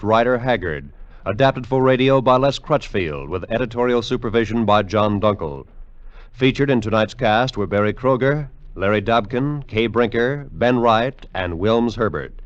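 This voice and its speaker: A man announcing formally in a measured, scripted 1940s radio style. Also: lowish voice, no room sound. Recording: dull old 1940s radio recording with music.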